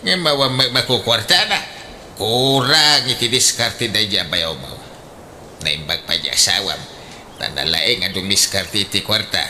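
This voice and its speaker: A man's voice: fairly low pitch, pushed and bright, a little hiss or hum, full character voice. An actor with an Ilocano accent playing a teasing, blunt older father in a comedic radio drama, full of exclamations.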